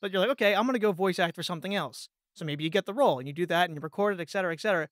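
A man's voice: sits high, rapid-fire, a bit bright, dry recording, clean studio sound. A man telling stories in a casual podcast chat, peppering them with "like" and quoted reactions. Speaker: voice actor, podcast guest.

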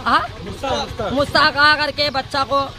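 A man's voice: very high voice, steady tone, measured pace, crowd noise. A man from a village, talking outdoors to a reporter in rambling plain local speech. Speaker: villager interviewed. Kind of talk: street interview.

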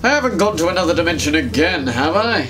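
A man's voice: a little high, animated, fast talker, music in the background. A young man in a scripted comedy sketch, talking in a flustered, stammering, fussy way. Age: young adult. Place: small home room, indoors.

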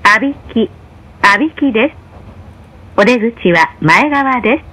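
Higher-voiced woman, train announcer. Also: expressive, bright, forceful tone, husky. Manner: formal, measured public-announcement delivery. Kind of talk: scripted in-car train announcement.

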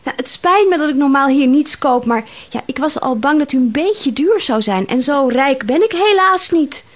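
A woman's voice: sits high, animated, moderate pace. An actress playing a flustered, chatty woman detective of about thirty in a Dutch radio drama. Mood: flustered, apologetic. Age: young adult. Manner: chatty, apologetic, exclaiming. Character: flustered, chatty female private detective around thirty, indignant under pressure.

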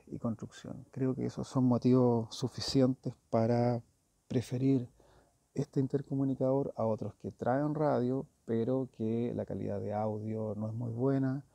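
A man's voice: lowish voice, gravelly, warm tone, breathy edge, no echo. A man giving a casual hands-on review as a motorcycle gear reviewer, informal and thinking aloud.

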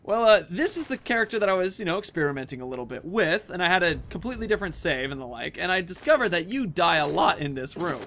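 A man's voice: sits high, big pitch swings, fast, hardly any pauses, a bit bright, a little hiss or hum. A man in his thirties giving casual commentary as the host of a gaming video.

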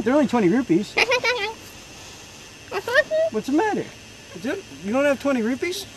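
Woman as bomb shopkeeper, scolding and folksy, high voice, noisy recording. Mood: salesy. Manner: folksy, tacks "there, eh" onto lines; scolding, threatening. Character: chatty hot-tempered female bomb shopkeeper. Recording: loud background noise.

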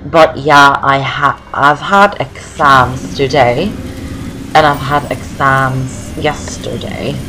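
Very low-voiced young woman gaming. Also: steady tone, takes it slowly, bright, forceful tone, slight background noise, poor audio. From Norway. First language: Norwegian. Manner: chatty, rambling, repeats phrases. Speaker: gaming video host. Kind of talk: casual game walkthrough commentary. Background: game music.

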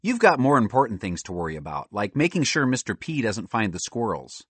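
A man's voice: lowish voice, very animated, a little fast, fairly bright tone, husky, dry recording, heavily acted. Man as a wary, nervous schoolboy. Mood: cautious. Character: nervous, cautious fifth-grade boy, sensible sidekick.

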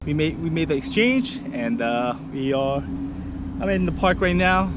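A man's voice: on the high side, expressive, moderate pace, background noise. A man in his thirties vlogging casually to camera, upbeat and eager with loose fillers, first from a truck cab and then outdoors in a parking lot on a handheld camera. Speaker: fishing vlogger. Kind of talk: casual vlog talk to camera. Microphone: action camera on dash, then handheld at arm's length.

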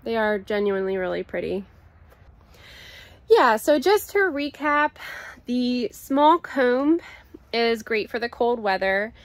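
A woman in her thirties giving a casual, explanatory homestead vlog, unhurried and pausing mid-sentence. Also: mid-range voice, dry recording. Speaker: homesteading vlogger. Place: outdoors in a covered chicken run and coop. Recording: outdoor ambient noise.

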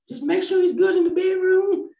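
A man's voice: very high voice, fairly even intonation, unhurried, creaky, dark tone, roomy sound, voice pushed up, heavily acted. A man voicing a bawdy, churchgoing old grandmother puppet who drawls folksy lines and calls people baby, over a video call. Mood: sassy. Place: small room at home, video call.

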